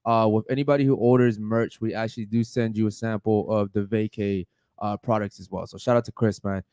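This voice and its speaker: A man's voice: on the low side, fairly even intonation, brisk, darkish tone, dry recording, studio quality. A man co-hosting a podcast, loosely calling out sponsors and asking the panel questions. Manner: loose, easygoing, lots of "man" and "uh". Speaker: podcast co-host. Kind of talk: casual podcast chat, reading shout-outs.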